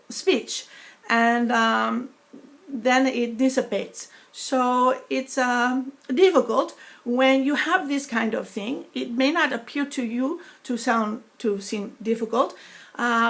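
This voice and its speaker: A middle-aged woman whose speech disorder gives her a foreign-sounding accent, talking slowly and haltingly with many pauses and fillers. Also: on the high side, fairly bright tone. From New York. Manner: slow halting speech, many fillers, dropped in-between words. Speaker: vlogger sharing her experience. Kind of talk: personal talk to camera.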